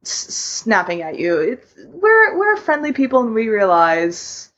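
A woman co-hosting a podcast, talking casually in a halting, conversational way. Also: on the high side, wildly swooping pitch, a bit bright, muffled recording. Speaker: podcast co-host. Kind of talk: casual discussion.

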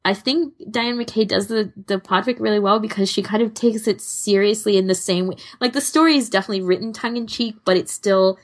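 A woman's voice: medium pitch, a little fast, hardly any pauses. A woman co-hosting a podcast, chatting casually and giving recommendations in an informal, rambling, giggly way. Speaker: podcast co-host.

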